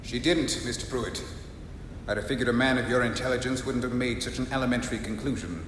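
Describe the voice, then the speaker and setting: A man's voice: a little fast, a bit bright, close to an everyday voice. A man playing a condescending, ominous keeper of secrets in an old-time radio drama, speaking with haughty articulation. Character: menacing, condescending, tall mysterious man of secrets. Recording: clearly noisy, rumbling background.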